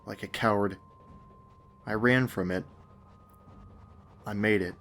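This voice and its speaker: A man's voice: on the low side, steady tone, takes it slowly, a bit bright, slight background noise. A man reading a scripted first-person horror story as narrator. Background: faint music.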